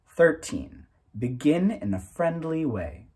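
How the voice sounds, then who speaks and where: A man in his thirties reading aloud from a self-help booklet in a deliberate, emphatic manner, occasionally stumbling over the text. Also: fairly high pitch, big pitch swings, dark tone, breathy edge. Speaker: narrator reading a book aloud.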